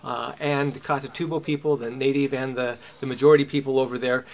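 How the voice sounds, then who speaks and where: A middle-aged American man speaks as host and teacher. He explains in an informal, detailed way, at a medium pitch. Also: flat delivery, fairly quick. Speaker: host and boot camp teacher. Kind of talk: informal on-camera introduction.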